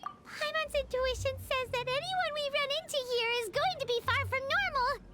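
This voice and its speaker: A woman voicing a tiny, childlike floating fairy companion in a video game, jumpy and bossy in a dramatic way. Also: moderate pace. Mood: nervous. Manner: squeaky childlike, dramatic, refers to self by name. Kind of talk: English video game voice acting. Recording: loud game music behind.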